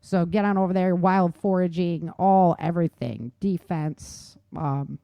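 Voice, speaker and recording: A woman's voice: lowish voice, measured pace, gravelly, warm tone, clear, resonant tone, dry recording. A woman hosting a talk show in casual chat, telling things informally with slangy emphasis and repetition. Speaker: talk show host, author. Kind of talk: casual chat on survival tips.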